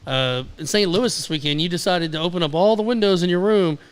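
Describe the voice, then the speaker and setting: A man's voice: high voice, fairly quick, smooth. A man with a Southern American accent, co-hosting a casual radio podcast with teasing, upbeat banter. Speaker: podcast co-host.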